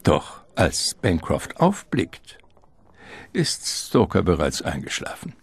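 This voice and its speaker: A man's voice: low-pitched, wildly swooping pitch, slow pace, vocal fry. A man narrating a radio drama as a scripted literary storyteller. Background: music.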